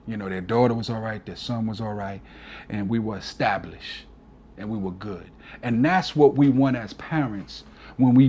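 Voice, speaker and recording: A man's voice: mid-range voice, darkish tone, slightly rough. A man in his forties, a DJ guest on an interview, talking earnestly and from the heart, often adding "you know". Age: 40s. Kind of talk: casual reflective interview talk.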